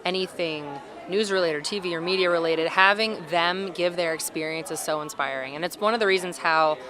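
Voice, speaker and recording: Low-voiced enthusiastic college woman. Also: fairly quick, sharp tone. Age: young adult. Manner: enthusiastic, rambling, with "like". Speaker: student interviewee. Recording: crowd noise behind.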